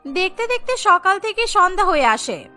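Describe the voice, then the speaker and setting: A woman's voice: very high voice, very animated, normal speed, sharp tone, faint music behind. A woman reading scripted narration for a cartoon story.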